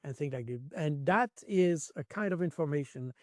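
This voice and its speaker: A man's voice: a little high, animated, a little slow, no echo, clean studio sound. A man from Switzerland giving spontaneous political analysis as an interview guest. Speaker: interview guest, former military intelligence analyst.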